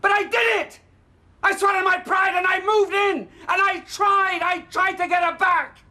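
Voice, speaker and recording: An actor playing a bitter, self-pitying middle-aged stepfather in a TV soap drama, who swings between shouting and pleading. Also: sits high, very animated, brisk, full character voice. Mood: furious. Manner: flares into shouting, then pleads.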